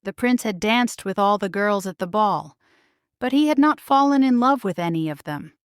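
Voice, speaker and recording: A woman narrating a children's storybook in a measured, expressive, scripted read-aloud style. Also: mid-range voice, clear, resonant tone, dry recording, clean studio sound. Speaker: storybook reader.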